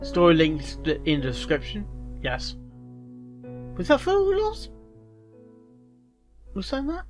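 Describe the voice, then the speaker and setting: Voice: mid-range voice, huge pitch swings, slow pace, pauses often, faint music behind. A host giving casual reaction commentary, rambling and often repeating words and phrases. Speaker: reviewer and host of a fanfiction reaction show.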